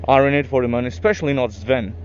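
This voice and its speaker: A man's voice: mid-range voice, darkish tone, smooth. French-accented young man riding through traffic. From France. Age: young adult. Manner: halting, many restarts and fillers, swears at traffic. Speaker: motorcycle vlogger. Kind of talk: casual commentary while riding. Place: on a motorcycle in city traffic outdoors. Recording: dull lo-fi helmet mic with faint traffic noise.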